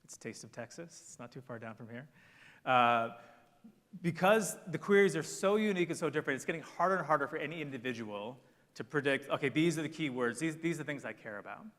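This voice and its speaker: A man speaking on a conference panel, giving brisk, confident marketing advice. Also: fairly high pitch, expressive, fairly bright tone. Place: conference hall. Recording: long hall reverb.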